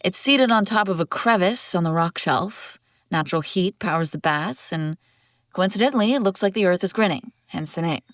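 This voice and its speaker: A woman's voice: lowish voice, animated, moderate pace, fairly bright tone, no echo. A woman playing a haughty, wry young princess on the run in an acted fantasy audio roleplay, bantering with exasperated sarcasm. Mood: wry, explaining. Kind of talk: acted audio roleplay, one-sided dialogue.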